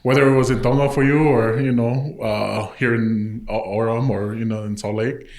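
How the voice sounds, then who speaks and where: A man hosting a podcast, asking questions in an unhurried way with filler words. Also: medium pitch, level tone, a little mellow, roomy sound. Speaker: podcast host.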